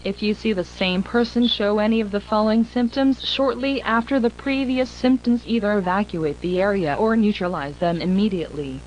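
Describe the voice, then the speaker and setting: A woman performs an emergency broadcast announcer for analog horror, grave and impersonal. Her mid-pitched voice delivers formal, measured warnings as scripted alerts. A faint humming noise sits under the recording. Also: a little mellow, close to an everyday voice, rough recording.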